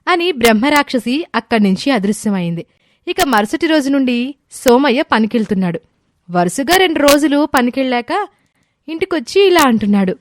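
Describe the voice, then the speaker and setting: A woman narrates a scripted moral story. Her voice sits a little high. The recording is very dry, with no room echo. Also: animated, moderate pace.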